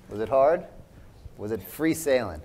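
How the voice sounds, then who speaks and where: A middle-aged man hosts a question session for children with an upbeat, encouraging manner. His voice sits at an ordinary middle pitch. He keeps repeating and rephrasing the kids' questions for the room. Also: a little mellow, husky. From Chicago. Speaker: event moderator. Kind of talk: hosting a Q&A for children.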